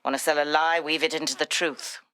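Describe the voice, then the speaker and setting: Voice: mid-range voice, little pitch movement, brisk, sharp tone, smooth, dry recording, studio quality, natural voice. An actor playing a calculating intelligence operative in a video game, controlled and businesslike. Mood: cool, calculating. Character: cool, calculating intelligence operative and team leader. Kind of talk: scripted game dialogue.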